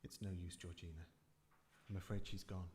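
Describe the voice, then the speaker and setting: A man's voice: sits low, little pitch movement, moderate pace, close to an everyday voice. A man playing a sceptical, dry-witted doctor in a radio drama. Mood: tender, grieving. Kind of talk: live radio drama acting. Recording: echoey hall, loud music behind.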